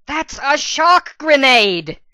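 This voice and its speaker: Man putting on a shrill, high-pitched young pegasus mare. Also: sing-song, slow pace, bright, forceful tone, dry recording, exaggerated voice. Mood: alarmed, exasperated. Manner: shrill, exclaiming. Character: young female pegasus pony, anxious and exasperated.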